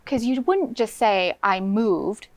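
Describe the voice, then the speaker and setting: A woman's voice: mid-range voice, animated, takes it slowly, a little hiss or hum. An American woman teaching young children, explaining simply in a read-aloud lesson as a kindergarten co-host.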